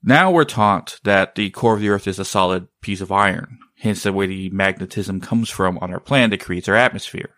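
A man talks in a low voice, loose and meandering. He often stalls to search for the right word. The sound is dry, with no room echo. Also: normal speed, hardly any pauses, fairly bright tone. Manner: rambling, often searching for words. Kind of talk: casual chat.

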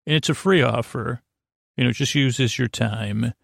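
A man with a creaky voice hosts a bedtime podcast, rambling in a halting, self-interrupting, goofy and self-deprecating way. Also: medium pitch, no echo, clean studio sound. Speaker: podcast host.

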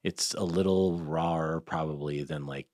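A man's voice: rumbling low, fairly even intonation, normal speed, darkish tone, no echo, studio quality. A man hosting an interview podcast in a casual, conversational way, often rephrasing as he goes. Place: studio.

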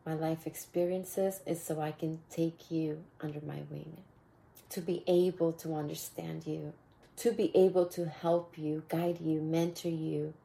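A woman with a raspy voice hosting a motivational podcast, talking casually and mentoring her listeners, often repeating phrases. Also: low voice. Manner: warm mentoring tone, repeats phrases, bursts of laughter. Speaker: podcast host.

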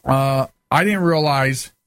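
A man's voice: medium pitch, slow pace, a bit bright, smooth, no echo, clean studio sound. A man hosting a casual interview show, chatting in an enthusiastic way. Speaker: show host.

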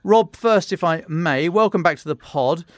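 A man's voice: a little high, normal speed, no room sound, studio quality. A British man presenting a news briefing as a podcast host, explaining informally with wry conversational asides. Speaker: podcast host and defence journalist.

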